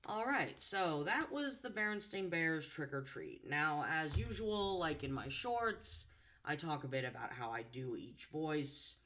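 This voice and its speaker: A woman with a low contralto voice reads a children's story aloud with playful expression. Her delivery is animated and bright, with a lot of movement in pitch. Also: unhurried. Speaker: voice actor reading storybooks. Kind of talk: storybook narration and chat.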